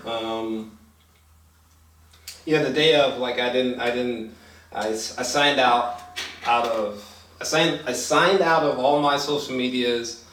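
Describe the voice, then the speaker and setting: Male reviewer, mid-pitched, excitable, profane and rambling, casual chat recorded in a roomy space at a distance. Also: slow, long pauses, a little hiss or hum. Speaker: movie reviewer, host. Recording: roomy echo, distant mic.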